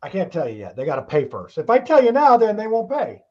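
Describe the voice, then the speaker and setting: A man's voice: high voice, huge pitch swings, quick pace, dark tone, highs cut off. A man hosting a casual talk show, rambling along in a folksy way with frequent chuckles.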